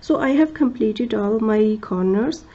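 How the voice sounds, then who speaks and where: A woman who speaks English as a second language gives a crochet tutorial, talking slowly and carefully, with many fillers and restarts, and counting stitches aloud. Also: on the high side, lively intonation, mellow, lo-fi sound. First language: not English. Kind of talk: step-by-step craft tutorial.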